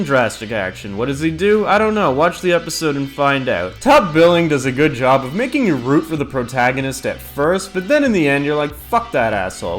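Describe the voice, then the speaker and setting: Give this a man hosting a countdown review with a lively, swooping delivery. He is blunt, sarcastic and swears freely, talking casually as he recaps, with faint music underneath. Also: medium pitch. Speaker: host of a video countdown of horror TV episodes.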